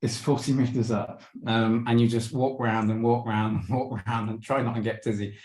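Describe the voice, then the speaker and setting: A man's voice: mid-range voice, steady tone, normal speed, darkish tone, echoey room. A man giving a slideshow travel talk on a video call, hesitant with many ums and restarts, and losing his voice. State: losing his voice, clearing throat. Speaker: webinar presenter.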